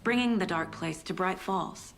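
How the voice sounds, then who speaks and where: An actress playing a composed female investigator who questions a witness briskly, recapping the facts point by point. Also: fairly low pitch, creaky, a bit bright, slight background noise. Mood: probing.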